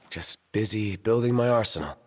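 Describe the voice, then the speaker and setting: A man's voice: lowish voice, a bit bright, slightly rough, heavily acted. A man playing a brooding young prince thrust into kingship in a video game, with a terse, casual, emotionally raw delivery. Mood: casual, wry. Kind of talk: video game cutscene acting.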